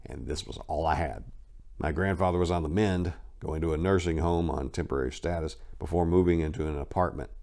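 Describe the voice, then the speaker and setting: A man with a very low voice reads a memoir aloud with dramatic weight. He pushes hard on the big phrases and then drops into quieter, offhand asides as he comments. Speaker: narrator reading a memoir and commenting.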